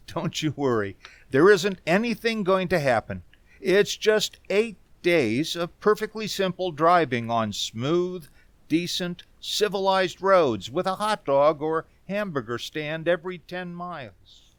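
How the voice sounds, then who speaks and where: Slow-talking anxious man played by an actor. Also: sits high, animated, fairly bright tone, clear, resonant tone, no echo, full character voice. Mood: reassuring, affectionate. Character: anxious 36-year-old man recounting a haunting road trip. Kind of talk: old-time radio drama acting.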